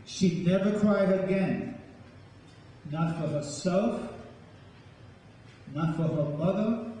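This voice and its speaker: A man tells stories and recites poetry in a slow, dramatic way. His voice is fairly high and soft-edged. It carries through a big, very reverberant hall, picked up from afar with a faint layer of noise. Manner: deliberate, dramatic storytelling delivery. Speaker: spoken word poet and storyteller. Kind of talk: dramatic poetry reading and talk to a live audience. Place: large echoing room with a live audience. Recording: echoey hall, distant mic, faint noise.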